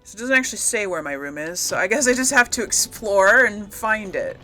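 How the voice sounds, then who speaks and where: A woman's voice: medium pitch, expressive, brisk, pushed and bright, slight background noise, poor audio. A woman hosting a casual gameplay video, thinking aloud as she plays. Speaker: gaming video host. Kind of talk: casual role-play gameplay commentary.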